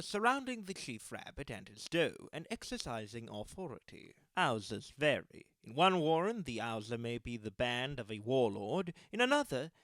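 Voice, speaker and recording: A man's voice: medium pitch, fairly bright tone, dry recording. A man narrating a scripted story as an explanatory storyteller. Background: faint music.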